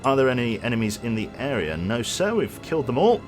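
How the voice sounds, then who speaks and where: A man giving gameplay commentary as a streamer, excitable and casually swearing, his voice going a little hoarse. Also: medium pitch, fairly quick, loud music behind the voice. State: losing his voice.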